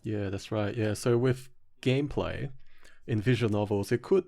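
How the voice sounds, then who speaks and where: A man's voice: on the low side, normal speed, gravelly, dark tone. A man speaking as a podcast guest and game developer, discussing his views in a casual, reflective style.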